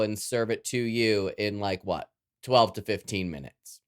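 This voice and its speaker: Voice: mid-range voice, fairly bright tone. A podcast host with a Canadian accent reading a scripted news round-up with chatty, campy, playful asides. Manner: sassy, playful.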